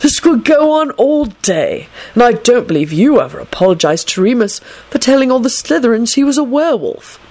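A woman voicing a mild, whimsical old male headmaster in a storybook, gently dithering and stern by turns. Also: on the high side, big pitch swings, measured pace, voice pushed up.

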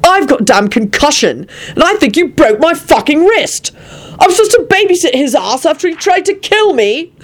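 A woman reads as a short-tempered, sarcastic man in an audiobook. He barks and grouses with an aggrieved edge, swinging between dry offence and loud outrage. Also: medium pitch, lively intonation, normal speed, close to an everyday voice. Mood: outraged. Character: irritable, sarcastic, foul-mouthed man, easily offended.

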